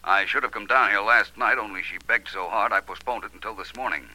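An actor playing a suave, unflappable private detective in a 1940s radio drama, speaking with polished, dry understatement. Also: male voice, on the low side, brisk, sharp tone, poor audio. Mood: brisk, serious.

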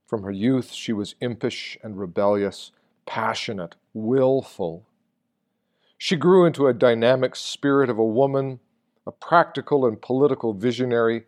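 A man reads a written sermon aloud in a measured storytelling manner, with deliberate pauses. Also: mid-range voice, darkish tone. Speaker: reader of written meditations. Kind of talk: read-aloud literary sermon.

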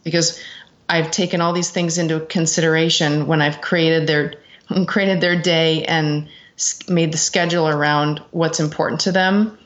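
Middle-aged woman, American accent, low pitch, earnest interview guest, conversational, dull recording. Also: level tone, normal speed. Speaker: interview guest, executive assistant. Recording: dull, lo-fi sound.

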